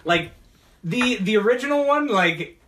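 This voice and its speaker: A man hosting a casual geek talk show, rambling about movies in a halting way and often repeating his words. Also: high-pitched, lively intonation, fairly bright tone. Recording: distant from the mic.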